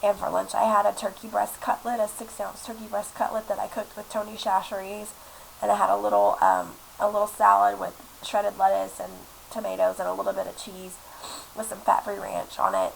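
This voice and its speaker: A woman's voice: mid-range voice, little pitch movement, fairly quick, creaky. A woman in her twenties, sick and congested, chatting casually to her laptop webcam in a small apartment, rambling with lots of fillers. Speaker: vlogger. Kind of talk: casual chat to camera. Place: small apartment room indoors. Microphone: laptop webcam mic. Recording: lo-fi webcam audio with faint hiss.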